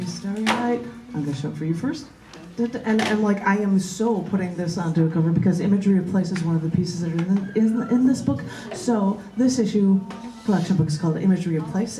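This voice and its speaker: Low-voiced woman poet in an echoey room. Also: background noise. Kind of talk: open mic poetry reading with casual asides. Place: large bookstore open mic, indoors. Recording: echoey room, distant microphone.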